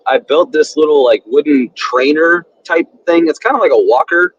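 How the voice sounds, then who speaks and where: A man in his thirties, a guest chatting casually and relaxed about his family. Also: on the high side, moderate pace, breathy edge. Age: 30s. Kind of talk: casual interview chat. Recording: dull lo-fi audio.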